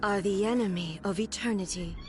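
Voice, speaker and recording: A woman's voice: medium pitch, fairly quick, natural voice. A woman playing a stern, regal godlike shogun in a video game, speaking solemnly and imperiously. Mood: cold, solemn. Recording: background music under the game dialogue.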